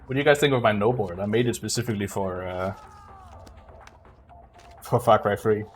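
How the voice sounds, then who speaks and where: A man giving casual live commentary on a game as a streamer, explaining things in a low-key way. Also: normal speed. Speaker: streamer speedrunner. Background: game music.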